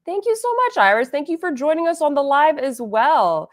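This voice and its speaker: A woman's voice: sits high, sing-song, moderate pace. Chatty lady on a live beauty stream, bit stuffy and sniffly, greeting viewers by name. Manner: chatty, reads viewer comments aloud. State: congested, a little sniffly. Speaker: beauty channel host. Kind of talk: casual livestream chat.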